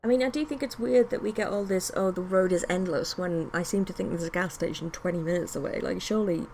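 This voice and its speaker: A woman's voice: mid-range voice, quick pace, vocal fry, darkish tone, slight background noise. A woman streaming a game, chattily commenting and theorising aloud as she plays and reads along. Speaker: streamer playing a visual novel. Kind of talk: live game commentary with read-aloud narration.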